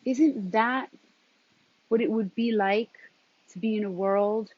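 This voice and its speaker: Husky-voiced woman giving a spiritual pep talk. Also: medium pitch, no room sound. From New York, of Colombian background. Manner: deliberate, in short broken phrases with emphatic repetition. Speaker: transformative coach and intuitive guide. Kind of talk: motivational spiritual talk to camera. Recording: dull, lo-fi sound.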